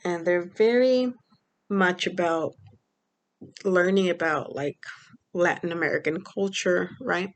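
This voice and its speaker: A woman's voice: lowish voice, unhurried, long pauses. A young woman from Mexico talking casually in a personal vlog, rambling with many fillers. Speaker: vlogger.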